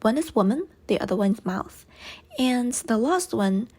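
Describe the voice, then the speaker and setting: A young woman whose first language is Chinese, reading a class presentation in a careful, measured way with light breathy passages. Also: a little high, animated, darkish tone. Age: young adult. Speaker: student presenter. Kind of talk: read class presentation narration.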